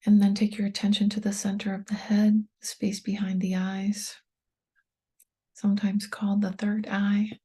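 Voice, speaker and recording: A woman guides a meditation in a breathy, mellow voice. Her delivery is soft and calm, staying on an even, gentle line with little rise or fall. She leaves unhurried pauses between short instructions. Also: medium pitch. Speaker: meditation guide, tapping coach.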